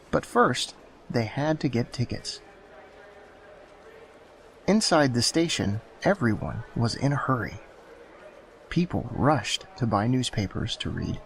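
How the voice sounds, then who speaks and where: A man reading children's bedtime stories aloud in a slow, gentle storybook manner. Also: mid-range voice.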